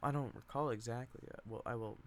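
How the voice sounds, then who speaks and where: Man playing anxious woman of thirty, small-town South, hesitant, evasive, quiet, stage-play read-through. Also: medium pitch, fairly even intonation, fast talker, a little hiss or hum, close to an everyday voice. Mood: flustered, evasive.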